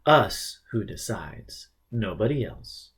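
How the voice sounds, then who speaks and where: A man voicing a calm, patient male teacher in an audiobook, reasoning and explaining with a gentle, teasing touch. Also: fairly low pitch, takes it slowly, slightly rough, full character voice. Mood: instructive.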